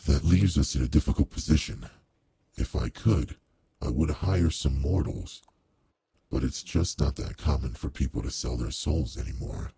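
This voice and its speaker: A man voicing a commanding, old-fashioned demon lord in a roleplay audio drama, speaking formally and with measured authority to one servant, with quiet care underneath. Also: a little hiss or hum. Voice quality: very deep, gravelly, husky.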